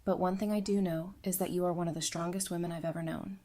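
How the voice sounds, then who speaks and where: Woman as a caring steady friend in an audio drama, lowish voice, plain-spoken. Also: quick pace, lightly acted. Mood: gentle sympathy.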